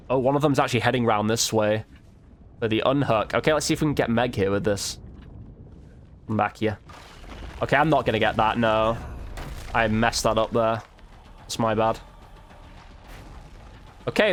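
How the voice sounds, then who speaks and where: A man commentating casually over gameplay as a content creator, chatting informally and thinking aloud. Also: mid-range voice.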